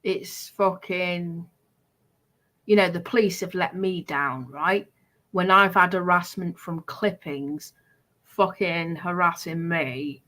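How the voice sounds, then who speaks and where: British woman, casual livestream talk, unhurried pace, tense bright tone, defensive. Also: lowish voice. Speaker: streamer.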